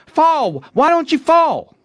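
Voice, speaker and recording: A man voicing an excitable, bossy young boy in a storybook, talking theatrically and in folksy dialect as he plays a bold outlaw. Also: extremely high pitch, takes it slowly, no echo, voice pushed up, full character voice. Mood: exasperated, insistent. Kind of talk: acted storybook dialogue.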